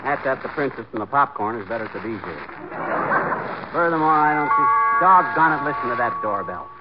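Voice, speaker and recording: An actor playing a grumbling, restless middle-aged husband in a folksy, blustering way in a 1940s radio comedy. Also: male voice, mid-range voice, expressive, fairly quick, loud music behind the voice, natural voice. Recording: muffled, very noisy 1940s radio recording.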